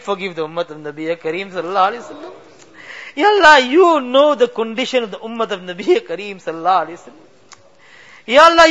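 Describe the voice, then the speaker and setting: A man leads a weeping supplication in a high, bright voice. His voice leaps from sobbing cries down to hushed, barely audible pleading. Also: highs cut off. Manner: weeping, pleading, swings between loud cries and hushed murmurs. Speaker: imam leading a dua. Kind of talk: emotional supplication sermon.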